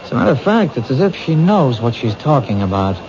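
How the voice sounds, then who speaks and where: An actor playing a patient, thoughtful police detective who asks gentle, probing questions in a 1970s radio drama. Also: male voice, mid-range voice, big pitch swings, brisk, music in the background. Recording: dull old radio broadcast, noisy.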